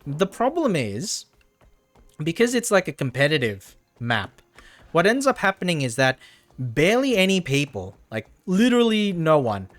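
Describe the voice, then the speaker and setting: A man's voice: on the high side, big pitch swings, normal speed, no echo, faint music behind. A man casually commentating on a game as he livestreams, rambling and often repeating his phrases. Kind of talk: casual gameplay commentary and chat.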